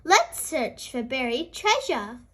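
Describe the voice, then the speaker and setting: A hearty old grandpa pig from a children's storybook plays at pirates, as a young reader puts them on. The voice is very high and bright, with playful, swooping delivery. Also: big pitch swings, takes it slowly, exaggerated voice.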